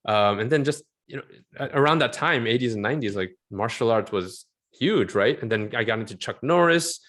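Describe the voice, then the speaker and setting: A man in his forties from Southern California, chatting as an interview guest in a rambling, animated way and laughing often. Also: medium pitch, fairly quick. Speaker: interview guest, film concept artist. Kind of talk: casual interview chat.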